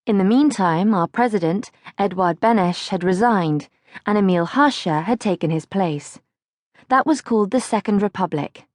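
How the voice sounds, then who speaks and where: A woman's voice: medium pitch, normal speed, no echo, studio quality. A woman narrating a childhood diary as an audiobook, reading expressively from a script. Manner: expressive, acts out questions.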